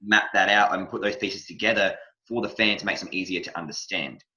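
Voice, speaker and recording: An Australian man hosting a casual podcast chat, talking loosely and haltingly, circling back over his phrases. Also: deep voice, fairly even intonation, sharp tone. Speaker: podcast host, wrestling manager.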